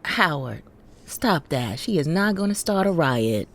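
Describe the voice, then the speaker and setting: A woman's voice: deep voice, sing-song, a little slow, full character voice. An actress playing a loving, protective middle-aged mother in an audio drama, affectionate but firm, with an American accent. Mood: concerned, affectionate.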